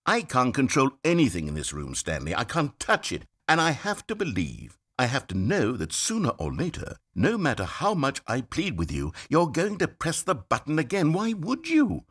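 An anxious, pleading game narrator. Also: male voice, medium pitch, huge pitch swings, normal speed, few pauses, breathy edge, exaggerated voice. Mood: frantic. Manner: pleading, rambling, swinging from fretful to hushed. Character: fussy male narrator, anxious, increasingly desperate. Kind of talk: scripted video game narration. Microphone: studio mic.

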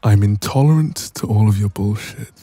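A man playing a dominant, cocky young ex-boyfriend in an intimate audio roleplay, soft and breathy, smug and casual, close to the microphone. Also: fairly low pitch, normal speed, no echo, soft background music. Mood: smug, irritated.

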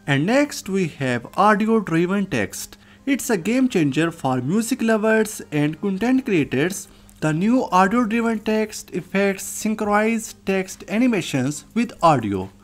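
A person narrating a scripted software tutorial as its host. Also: mid-range voice, sing-song, measured pace. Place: voice-over, recorded off screen.

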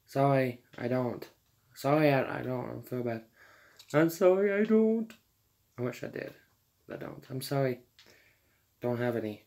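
A teenage boy casually commenting while he plays a video game, chatty and quick to laugh and apologize. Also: medium pitch, gravelly, darkish tone. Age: teens. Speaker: gamer streamer.

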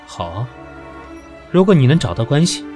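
A man's voice: medium pitch, big pitch swings, normal speed, dark tone, faint music behind, lightly acted. An actor playing a composed, sardonic, stern professional man in an audio drama, dry and commanding. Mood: cool, challenging. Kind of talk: Chinese audio drama acting.

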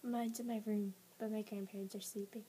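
A teenage girl teasing her friend beside a laptop webcam at night, often speaking in a hushed whisper. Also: mid-range voice, level tone, brisk, a little hiss or hum, poor audio. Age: teens. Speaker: friend joining the vlog. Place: small blanket fort indoors at night. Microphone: laptop webcam, seated beside.

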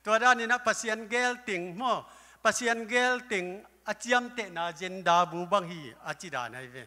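An older man preaching a sermon at a lectern with a handheld microphone, breaking into loud exclamations now and then. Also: high-pitched, lively intonation, normal speed. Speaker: pastor. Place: church hall stage. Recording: live church hall with long reverb.

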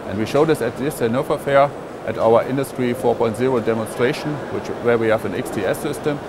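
A man's voice: medium pitch, fairly quick. A man in his fifties explaining a product in an interview at a busy exhibition hall, with frequent fillers. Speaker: interviewed expert. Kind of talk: trade show interview. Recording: loud exhibition hall crowd noise. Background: hall noise.

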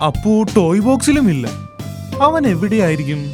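A woman narrating a children's cartoon in an expressive, sing-song storytelling manner. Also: medium pitch, moderate pace, loud music behind the voice. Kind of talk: scripted storybook narration for kids.

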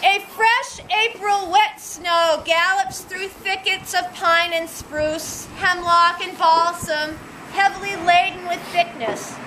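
A woman reading her own poems aloud at a nighttime vigil in an outdoor gazebo, slow and deliberate, recorded by a distant camera. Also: very high voice, steady tone, pushed and bright, slight background noise. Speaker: poetry reader at a vigil.

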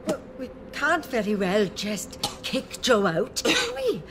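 A woman's voice: on the high side, wildly swooping pitch, takes it slowly, heavily acted. A woman playing a flustered, timid, middle-aged British working-class mother in a radio drama. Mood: flustered, evasive. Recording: radio drama with noisy background.

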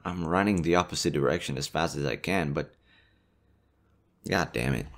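A man's voice: extremely low pitch, moderate pace, a little hiss or hum. A young adult man, a voice actor, swearing at himself in frustration over flubbed takes. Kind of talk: blooper outtakes.

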